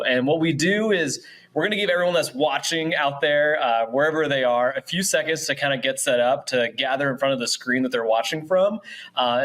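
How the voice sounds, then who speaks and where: A man's voice: medium pitch, a bit bright. A man hosting a casual, upbeat online event in a chatty, encouraging, informal way. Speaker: show host.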